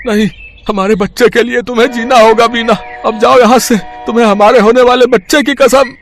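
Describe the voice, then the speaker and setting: A man's voice: very high voice, fairly even intonation, fairly quick, soft background music. A man playing a tender, resigned young husband in a moral story, speaking earnestly and solemnly. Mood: grave, resigned. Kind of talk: animated moral-story dialogue.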